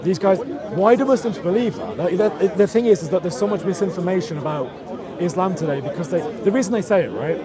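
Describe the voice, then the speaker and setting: A man arguing in a street debate, calmly explaining his points one at a time. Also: high voice, fast. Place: outdoor public speaking corner. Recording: crowd noise around.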